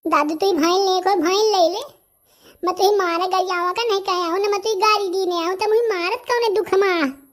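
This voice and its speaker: Squeaky, pleading cartoon villager. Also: male voice, normal speed, a bit bright. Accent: Bundelkhandi. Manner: pleading, protesting. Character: squeaky, pleading male villager accused of theft. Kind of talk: comic cartoon dialogue.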